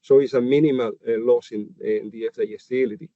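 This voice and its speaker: A man's voice: mid-range voice, steady tone, fast, dark tone, no echo. Chilean-accented man presenting a webinar. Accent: Chilean Spanish. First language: Spanish. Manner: hesitant, many fillers and restarts while explaining slides. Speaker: livestock nutritionist. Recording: dull, dry webinar audio.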